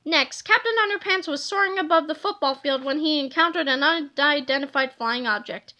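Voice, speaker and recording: A woman narrating a children's storybook aloud in an expressive, scripted reading style. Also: high voice, a little fast.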